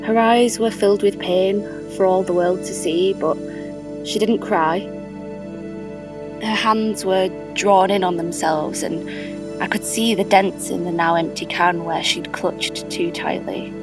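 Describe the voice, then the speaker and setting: Reflective stranger narrator, audio drama, female actor. Measured, wistful, medium pitch, faint music bed. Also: steady tone, close to an everyday voice. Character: reflective, observant stranger recalling a park bench encounter.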